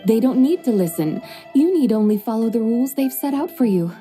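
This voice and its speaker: A woman voicing a composed, gently teasing young woman with a secret in a game cutscene. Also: a little high, lively intonation, a little fast, natural voice. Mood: serene. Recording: soft game music underneath.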